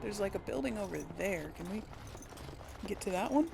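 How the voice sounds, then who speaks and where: A woman's voice: medium pitch, lively intonation, normal speed, gravelly, noisy background. A woman giving casual role-play video game commentary, thinking aloud in a hesitant, conversational way. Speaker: gaming video host and player.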